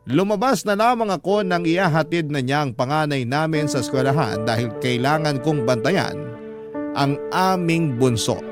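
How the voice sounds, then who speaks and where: A man's voice: on the high side, moderate pace, close to an everyday voice. A man reading a betrayed wife's first-person story as a radio host, in sorrowful, dramatic scripted narration. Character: betrayed wife telling her story, hurt and sorrowful. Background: background music.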